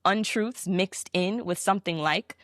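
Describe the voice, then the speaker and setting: A young adult woman sharing her story in an interview, animated and reflective, her voice leaping upward when she quotes her younger self. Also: medium pitch, unhurried, no echo, studio quality. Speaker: podcast guest.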